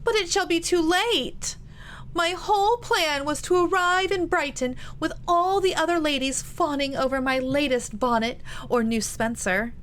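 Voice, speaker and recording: A woman reader puts on a spoiled young lady from a period storybook, given to sulks and boasting. Her voice sits high and her delivery is indignant and dramatic. Some background noise runs under the recording. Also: measured pace, fairly bright tone. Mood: indignant wailing. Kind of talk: audiobook character dialogue.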